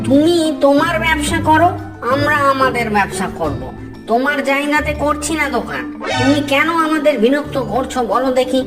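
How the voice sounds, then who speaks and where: A woman's voice: high voice, animated, normal speed. A woman voicing an eager, stubborn young village boy in a Bengali moral-story cartoon, polite and persuasive. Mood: insistent. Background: music.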